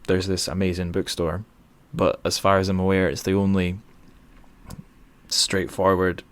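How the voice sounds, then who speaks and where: A low-voiced man hosts a casual interview. He talks in an informal, rambling, chatty way, circling around his questions as he goes. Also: monotone, measured pace, clean tone. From northern Britain. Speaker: podcast host interviewer.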